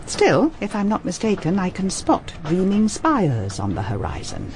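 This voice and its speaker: Upper-class English female private eye, middle-aged, measured, authoritative, lively intonation, radio drama, faint noise behind. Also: mid-range voice. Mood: wry, reminiscing. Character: well-bred, principled female private detective, diplomat's wife. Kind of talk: British radio drama acting.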